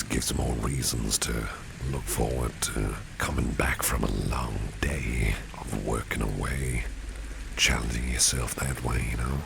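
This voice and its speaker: Over steady rain noise comes a man acting as a suave, protective boss in an intimate ASMR roleplay. His half-whispered voice is extremely low and heavily creaky. He is courteous and flirtatiously insistent, with a menacing edge underneath. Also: full character voice. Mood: smooth, flirtatious. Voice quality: gravelly, breathy half-whisper. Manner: unhurried, courteous, flirtatiously insistent, repeats phrases. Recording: clear background noise with rain ambience.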